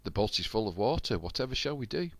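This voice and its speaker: An older man voicing Stew, a fretful boy crewmate, in a high wailing voice. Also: big pitch swings, fast, fairly bright tone, no echo. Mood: panicky.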